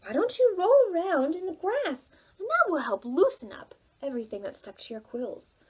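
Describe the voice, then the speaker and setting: A friendly, encouraging storybook pig, voiced by a woman. The voice is high and lively, explaining things helpfully. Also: normal speed.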